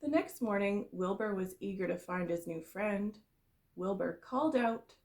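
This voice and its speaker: A woman reading a storybook aloud for young children, in the expressive, explanatory way of a kindergarten teacher. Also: medium pitch, a little slow.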